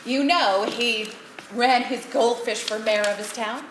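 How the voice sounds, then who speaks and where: A woman's voice: a little high, measured pace, close to an everyday voice. A woman playing a bossy, stubborn director in an amateur stage comedy, theatrical and insistent, devoted to an absurdist playwright. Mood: grandly admiring. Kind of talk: live amateur one-act stage comedy. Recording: echoey, noisy live theatre recording.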